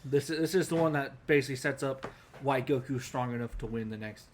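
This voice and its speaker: A man's voice: mid-range voice, quick pace, a little hiss or hum. Casual male podcaster with mid-range voice. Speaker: podcast co-host. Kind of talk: casual chat about anime.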